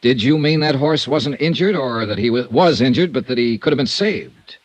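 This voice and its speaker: A man's voice: medium pitch, expressive, normal speed, no room sound, close to an everyday voice. An actor playing a blunt, sardonic insurance investigator who narrates his own case in a 1950s radio noir drama. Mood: probing. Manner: dry, clipped, sardonic. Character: hard-boiled insurance investigator, wry and blunt. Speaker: narrator and lead detective.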